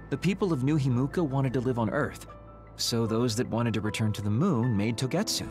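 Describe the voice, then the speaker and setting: An actor voicing a laid-back but secretly determined young soldier in a video game, casual, candid and dramatic. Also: male voice, medium pitch, natural voice. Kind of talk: video game cutscene voice acting.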